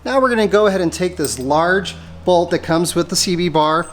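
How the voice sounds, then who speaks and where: A man's voice: sits high, fairly quick, over music. A man presenting a methodical, step-by-step hands-on installation tutorial for a product he made. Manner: methodical, explanatory. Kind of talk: step-by-step hands-on how-to demonstration.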